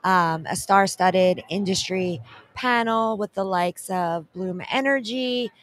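A middle-aged woman co-hosting an event wrap-up, upbeat and promotional, giving shout-outs, talking into a handheld mic at an expo booth set. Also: medium pitch, slow pace, slight background noise. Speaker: co-host.

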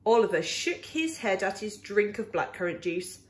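A woman reading a children's picture book aloud in an animated, expressive, deliberate way. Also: medium pitch.

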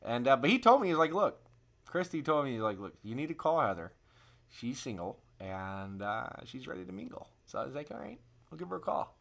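A man in his thirties with very lively intonation, chatting into his phone on a prank call. He rambles and hesitates, playing up the awkwardness as he goes. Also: mid-range voice, a little fast, creaky. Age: 30s. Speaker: prank caller and livestream host. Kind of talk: improvised prank call, casual chat.

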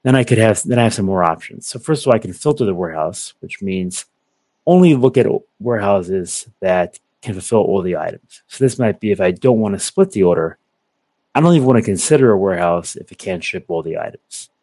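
A young man giving a methodical, step-by-step software walkthrough over a video call. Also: fairly low pitch, lively intonation, fairly quick, no room sound. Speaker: software product presenter. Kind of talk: screen-share software walkthrough.

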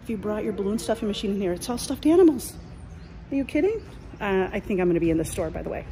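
A woman's voice: medium pitch, expressive, fast talker, warm tone, a little hiss or hum, rough recording. A middle-aged woman chatting enthusiastically as a business coach, filming herself on a phone while walking through an indoor mall. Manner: chatty, enthusiastic, points things out as she walks. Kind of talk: walking selfie vlog. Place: large indoor shopping mall. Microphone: phone held at arm's length.

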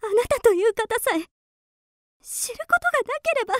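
Woman doing lonely dreamy romantic lady for radio drama, polite and theatrical, high and really swoopy. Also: sing-song, fairly quick, a bit bright, no echo, studio quality, heavily acted. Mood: distressed denial.

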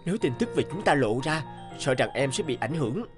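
A man voicing a young actor in love in a cartoon dub, earnest and expressive. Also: mid-range voice, brisk, over music, close to an everyday voice. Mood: worried. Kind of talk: cartoon dubbing.

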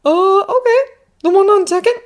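Woman voicing an excitable, high-pitched teenage boy. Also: moderate pace. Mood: casual. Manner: hesitant, rambling when excited.

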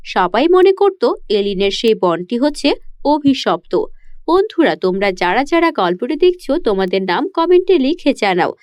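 A woman's voice: on the high side, big pitch swings, moderate pace, darkish tone, no echo, studio quality. A woman narrating a scripted children's fairy tale as storyteller.

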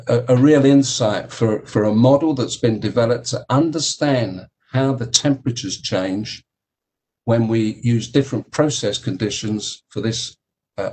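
A man giving a technical keynote talk about engineering, speaking in a halting way with many fillers and repeated words. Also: medium pitch, darkish tone, poor audio. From United Kingdom. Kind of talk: technical conference presentation.

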